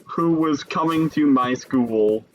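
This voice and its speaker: Unhurried young man from Chicago musing. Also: on the high side, a little mellow, highs cut off, slight background noise. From Chicago, Illinois. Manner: musing, chats with viewers between pauses. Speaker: streamer. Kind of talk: casual live-stream chat while gaming.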